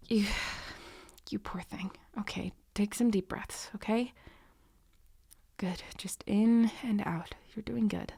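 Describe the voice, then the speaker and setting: A woman playing a snarky but soft-hearted young tutor in an ASMR romance roleplay, halting and sweary, with sighs and a tendency to drop into whispers. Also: mid-range voice, vocal fry, no echo, clean studio sound. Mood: gentle, remorseful. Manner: halting, awkward, sweary, often sighing and dropping to whispers. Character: harsh, snarky young female tutor turned flustered, guilty comforter.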